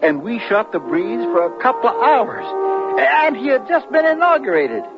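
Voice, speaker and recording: An actor playing an excitable, boastful CB radio yarn-spinner with a stammering, folksy, eager manner in a 1970s radio drama. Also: male voice, very high-pitched, moderate pace, loud music behind the voice, heavily acted. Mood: excited, bragging. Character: excitable, boastful male CB radio tall-tale teller. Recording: dull, noisy 1970s radio recording.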